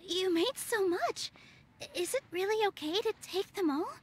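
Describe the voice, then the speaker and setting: A woman voicing a sweet, cheerful, trusting young woman in a video game, speaking gently and politely. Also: very high voice, lively intonation, normal speed, slight background noise. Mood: hesitant. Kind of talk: video game character acting. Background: faint game music.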